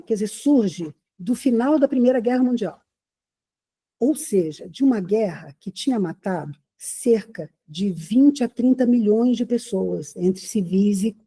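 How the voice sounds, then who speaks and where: Woman, husky voice, very dark tone, lecturing, conversational, asks rhetorical questions. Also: medium pitch. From Rio de Janeiro, Brazil. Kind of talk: lecture to an audience.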